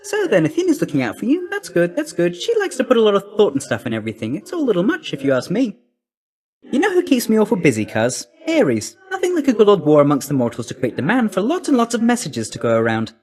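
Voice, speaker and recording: A cheeky young male messenger god for a video game. The voice sits fairly high and swings up and down constantly. He talks in a breezy, clipped, slangy way, like a chummy courier who is always in a hurry. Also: wildly swooping pitch, quick pace, a little mellow. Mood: gossipy, cocky. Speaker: video game character actor.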